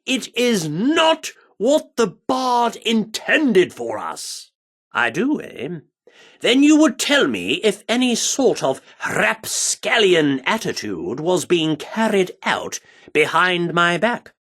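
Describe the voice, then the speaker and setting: A man's voice: high-pitched, wildly swooping pitch, takes it slowly, fairly bright tone, heavily acted. A man voicing an earnest, flamboyant, Shakespeare-obsessed theatre director in a video game, with grandiloquent, archaic, theatrical phrasing. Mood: indignant, suspicious. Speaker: voice actor as game character.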